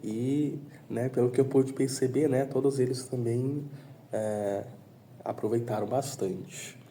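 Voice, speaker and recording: A man giving a slow, filler-heavy testimonial as a student teaching assistant. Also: mid-range voice, fairly even intonation, warm tone, slight background noise.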